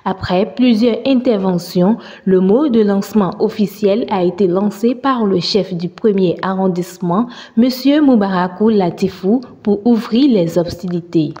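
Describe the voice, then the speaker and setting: A woman's voice: medium pitch, a little slow, hardly any pauses, warm tone. A woman reading scripted news narration as a reporter. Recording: long reverberant tail on voice.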